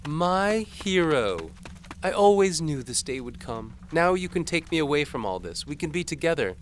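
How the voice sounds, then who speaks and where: A man's voice: a little high, very animated, normal speed, slight background noise, voice pushed up. A man voicing a haughty, melodramatic medieval noblewoman in an audio drama, with a callous streak. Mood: gushing.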